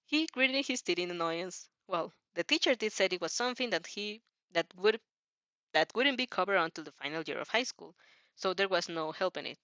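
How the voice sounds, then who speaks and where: A woman's voice: lowish voice, expressive, moderate pace, fairly bright tone, no room sound, muffled recording. A woman informally narrating fan fiction, giggly, often stumbling and rereading phrases as she goes. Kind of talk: informal story narration with reactions.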